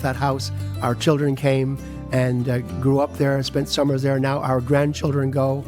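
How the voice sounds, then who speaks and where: An older man from Newfoundland speaks in his local accent. He gives a casual, personal introduction in his own everyday voice. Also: mid-range voice. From Newfoundland, Canada. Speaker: actor and writer.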